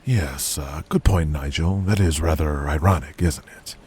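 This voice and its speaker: A man's voice: rumbling low, normal speed, darkish tone, breathy edge, voice pushed down, full character voice. A man voicing a proud, stubborn, sardonic wrestling boss in an audio drama, with a haughty, dry delivery.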